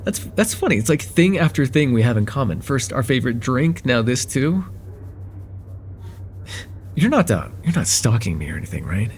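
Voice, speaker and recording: A man's voice: mid-range voice, sing-song, normal speed, slight background noise. A man playing a friendly, chatty young guy at a cafe in a casual, slightly hesitant conversational ASMR roleplay. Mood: friendly, curious.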